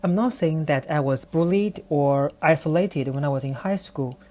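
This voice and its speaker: Chinese woman in her thirties, non-native English, low warm voice, reflective podcast monologue. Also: normal speed. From China. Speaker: podcast host. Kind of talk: personal storytelling monologue.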